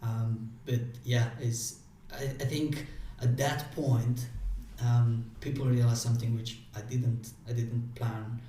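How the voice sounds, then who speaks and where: A man with a mellow, flat voice and a non-native way of speaking, pausing and restarting often as he answers interview questions. He is heard from some distance in a very echoey room, with a faint hiss underneath. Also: medium pitch. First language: not English. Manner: halting, many restarts and fillers. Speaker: guest.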